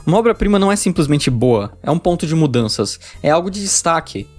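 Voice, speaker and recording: A young man with a Brazilian Portuguese accent delivers informal scripted narration at a quick clip, in a slightly high voice. He is irreverent and swears freely, with music playing underneath. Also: big pitch swings, darkish tone. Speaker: video essayist reviewing games.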